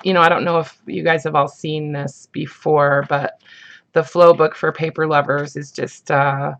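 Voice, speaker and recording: A woman with a husky morning voice, chatting casually as she shows off craft supplies, rambling with lots of ums and likes. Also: sits low, level tone, moderate pace, a bit bright, muffled recording. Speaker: craft vlogger. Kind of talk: casual show-and-tell chat.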